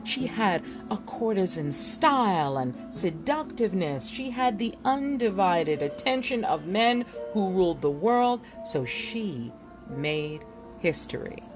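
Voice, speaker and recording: A woman giving an expressive, deliberate reading of an illustrated book as a narrator, with dramatic delivery. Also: mid-range voice. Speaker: reader presenting a book chapter. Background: music.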